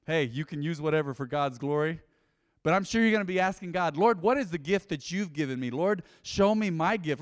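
A man preaching a sermon as pastor, animated and conversational, with frequent asides to the congregation. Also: a little high, long reverb tail. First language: English. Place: church.

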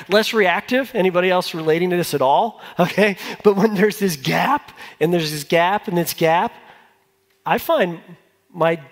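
High-voiced male pastor in a reverberant hall. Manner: informal, repeats phrases for emphasis, asks listeners questions. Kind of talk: conversational sermon. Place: large church hall with a congregation.

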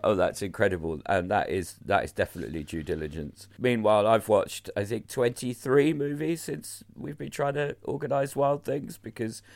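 Croaky low-voiced man with a cold. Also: moderate pace, hardly any pauses, no room sound. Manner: playful, teasing, laughs often. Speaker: podcast co-host. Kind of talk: casual film podcast chat.

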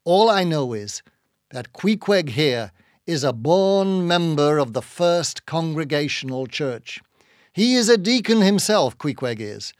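An earnest young sailor, read by a male narrator, speaking up for a friend in a storybook. His voice sits a little higher and is recorded close and dry. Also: lively intonation, measured pace, no room sound. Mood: earnest, rising. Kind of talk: dramatised audiobook reading.

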